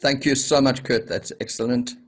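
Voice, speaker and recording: A man hosting an online speakers meeting, introducing each guest in a measured, welcoming way. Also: mid-range voice, steady tone. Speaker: host. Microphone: video call.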